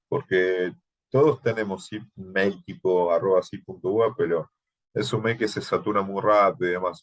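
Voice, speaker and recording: A man with an Argentine accent teaching an online thesis workshop, talking in a hesitant way with many fillers. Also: sits low. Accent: Argentine Spanish. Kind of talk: online class, thesis advising. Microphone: video call.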